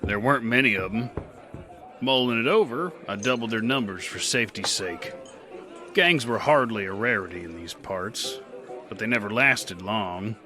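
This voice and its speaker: A man's voice: fairly low pitch, moderate pace, music in the background, lightly acted. A man narrating and playing a rugged, weary gunslinger in an audio drama, with a dry, terse delivery. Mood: steady. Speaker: narrator and lead.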